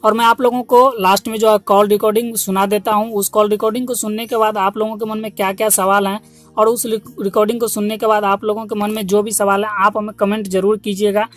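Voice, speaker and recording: A man's voice: high-pitched, flat delivery, quick pace, a bit bright. A man hosting an online channel, giving viewers an informational update in a repetitive, instructional way. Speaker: YouTube channel host. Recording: music under the voice. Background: background music.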